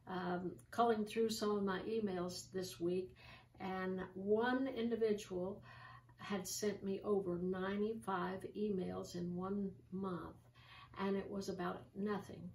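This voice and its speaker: Older woman giving a homey sermon, roomy. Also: mid-range voice. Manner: gentle, conversational, with many ums and restarts. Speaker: host of an online church show. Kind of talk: informal devotional talk with scripture reading. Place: small room with curtains, seated in an armchair. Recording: roomy, distant home recording with faint hiss.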